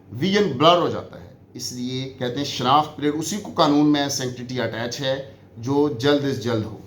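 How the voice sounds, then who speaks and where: A man with a Pakistani accent giving an explanatory lecture, teaching by posing questions and answering them, repeating key phrases. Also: on the high side. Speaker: law lecturer. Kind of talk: explanatory exam-prep lecture mixing Urdu and English. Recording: echoey room with faint background noise.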